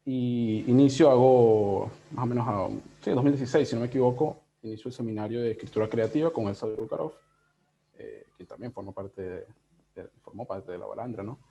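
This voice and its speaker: Mellow-voiced Venezuelan man, dull call audio. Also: medium pitch, lively intonation, brisk, gravelly, slight background noise. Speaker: interviewed book editor. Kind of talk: casual reflective interview talk. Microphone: video call.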